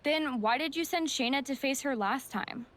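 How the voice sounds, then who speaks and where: A woman's voice: high-pitched, moderate pace, a bit bright. A woman playing a young, admiring and independent-minded rookie wrestler in a video game cutscene, speaking earnestly. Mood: hesitant.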